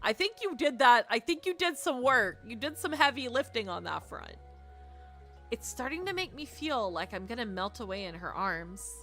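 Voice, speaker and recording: A woman with a slightly high, bright voice reads a visual novel aloud on a stream. She narrates expressively and slips into playful, teasing asides. Soft music plays behind her. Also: sing-song, normal speed.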